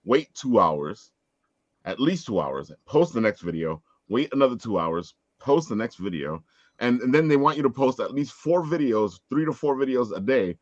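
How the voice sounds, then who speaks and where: A man's voice: mid-range voice, very animated, normal speed. A man hosting a casual live panel chat over an online call. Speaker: podcast host. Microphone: online video call. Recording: roomy echo, dull lo-fi sound.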